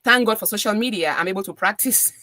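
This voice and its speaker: A woman's voice: medium pitch, fast, pushed and bright, dry recording, studio quality. A middle-aged woman with a Cameroonian accent, a radio DJ talking earnestly as a podcast guest and repeating phrases for emphasis. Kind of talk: casual interview conversation.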